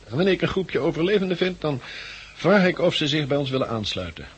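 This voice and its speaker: An actor playing a friendly helicopter pilot recruiting survivors, with measured, courteous explanations in 1960s Dutch radio drama style. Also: male voice, a little high, lively intonation, breathy edge, dull audio. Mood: informative, confident.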